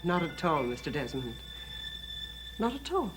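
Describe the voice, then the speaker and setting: Gothic soap heroine: young, poised, coy, polite, expressive melodic delivery over music. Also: female voice, sits low, measured pace. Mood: guarded. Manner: polite, composed, theatrical. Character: poised, coy young actress guest at a manor. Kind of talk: 1970s gothic soap opera acting. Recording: music behind the dialogue.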